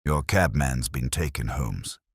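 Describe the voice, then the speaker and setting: A man acting out an irritable police inspector in a mystery audiobook. He speaks bluntly and matter-of-factly in an extremely deep, husky voice. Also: expressive, measured pace, no room sound, studio quality, full character voice. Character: irritable, reluctantly respectful male police inspector.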